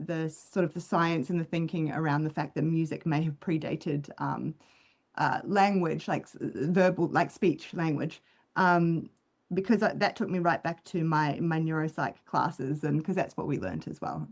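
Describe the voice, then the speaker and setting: A woman with a New Zealand accent softened by Australian tones, a psychologist talking through ideas in a relaxed podcast chat on a video call, with many ums and restarts. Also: lowish voice. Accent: New Zealand English with Australian overlay. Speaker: clinical psychologist guest. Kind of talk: casual podcast conversation.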